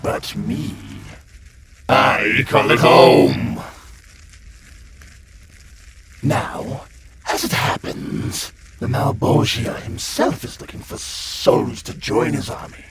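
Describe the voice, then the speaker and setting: A man's voice: medium pitch, animated, takes it slowly, gravelly, background noise. A man playing a glib, sleazy demon guide of hell in a comic dub, full of slangy, theatrical sales patter. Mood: sly, boastful. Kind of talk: comic-book dub voice acting. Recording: loud music under the voice. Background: prominent music.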